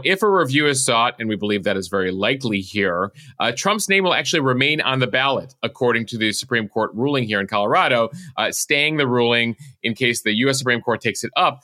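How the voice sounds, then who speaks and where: An American man delivers a conversational news breakdown in a bright, forceful voice at a middling pitch. He explains each point methodically, like a podcast host guiding listeners through it. The sound is dry and close on the microphone. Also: dry recording.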